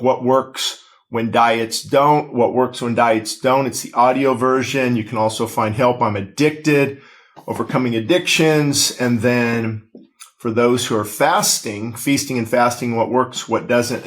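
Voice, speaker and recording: A middle-aged man, a pastor, reading his own book aloud into a podcast microphone in a small studio, with casual asides and frequent fillers and restarts. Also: mid-range voice. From Southern California. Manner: conversational, frequent fillers and self-corrections. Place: small studio with posters on the wall. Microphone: desk-mounted podcast microphone.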